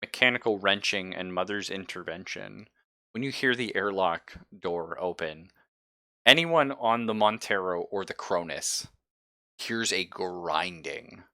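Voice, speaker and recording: A man's voice: deep voice, measured pace, sharp tone, no room sound, studio quality. A man narrating a tabletop horror game as game master in a casual, jokey, sweary way.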